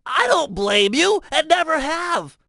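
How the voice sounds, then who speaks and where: Nerdy turtle strategist, piercingly bright, creaky edge, nasal, precise, earnest, video game tutorial, dry close recording. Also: male voice, extremely high pitch, sing-song, unhurried, dry recording, full character voice. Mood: pleading, exasperated. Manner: precise, instructional, wordy and technical. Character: brainy nerdy turtle mission planner, male, earnest and fussy.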